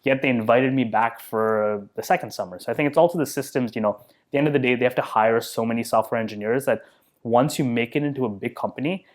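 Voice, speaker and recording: A man's voice: mid-range voice, fast. A man in his thirties, guest on a podcast, talking casually and thoughtfully, often with "like" and "you know". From India. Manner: thoughtful, self-deprecating, filler-heavy. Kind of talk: casual interview conversation.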